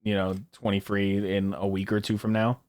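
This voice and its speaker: A young man with a lowish voice hosts a loose chat among friends. He talks in a rambling way, often restarting and repeating phrases, and swears freely. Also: level tone, normal speed, darkish tone. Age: early 20s. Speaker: podcast host.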